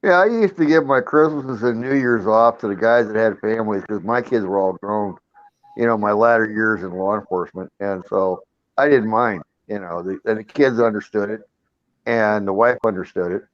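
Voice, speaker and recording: Older man, retired cop, friendly chat guest; mid pitch, dry and slightly muffled call audio. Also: normal speed, no room sound. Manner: friendly, chatty, agreeable.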